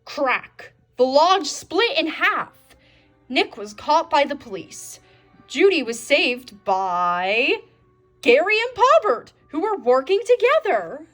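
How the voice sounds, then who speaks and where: A woman reading a children's storybook aloud in an expressive storytelling style, as narrator. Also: high voice, takes it slowly, faint music behind. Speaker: storybook reader.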